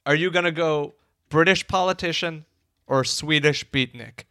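A man riffs with his co-hosts in a comedy podcast, needling them in playful, quick bursts. His voice is bright and a little high, and the sound is dry and close. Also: dry recording. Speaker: podcast co-host. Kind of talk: casual comedic banter.

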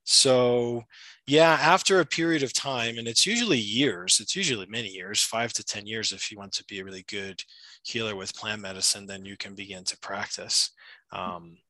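Man, lowish voice, bright tone, dry recording, thoughtful, filler-heavy. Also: moderate pace, no echo, poor audio. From United States. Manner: thoughtful, many fillers and restarts. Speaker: shamanic practitioner and retreat facilitator. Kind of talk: interview answers, explanatory. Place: Peru.

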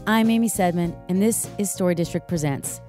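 A woman's voice: fairly low pitch, brisk, mellow, soft background music. A woman hosting a podcast, talking in a conversational way to guests and listeners. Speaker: podcast host. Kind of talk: conversational interview and show sign-off.

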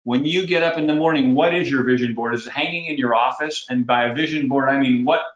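A man, a commercial real estate broker, giving earnest, folksy career advice in a podcast interview with halting restarts. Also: medium pitch, dull audio. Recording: roomy echoey sound.

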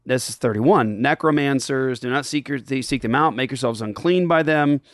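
A man's voice: mid-range voice, normal speed, dry recording, studio quality. A man who grew up in Japan, hosting and casually explaining a Bible passage to listeners, with frequent ums and restarts. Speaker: podcast host answering listener questions. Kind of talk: casual Bible teaching chat.

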